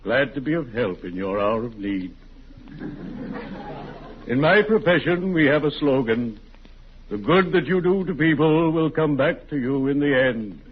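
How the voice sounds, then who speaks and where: A genteel undertaker played by a man savors each word at a very slow, funereal pace, solemn yet morbidly delighted. It is 1940s radio comedy, heard through a muffled, clearly noisy old recording. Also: mid-range voice, animated, natural voice. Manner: slow, formal, unctuous, savoring words. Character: gloomy genteel undertaker, morbidly cheerful, drawn-out funereal delivery.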